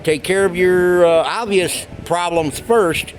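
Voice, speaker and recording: A middle-aged American man with a slightly high-set voice talks through a practical explanation. He is informal and folksy, speaking casually as he demonstrates at a workbench. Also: takes it slowly. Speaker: hobbyist giving radio tips. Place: indoors at a wooden workbench.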